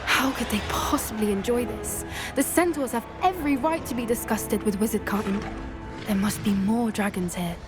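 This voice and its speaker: Woman as game student witch, young, wary, analytical, a bit high, music behind. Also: normal speed, lightly acted. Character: cautious, sharp young female student witch. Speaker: student companion. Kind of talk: video game voice acting.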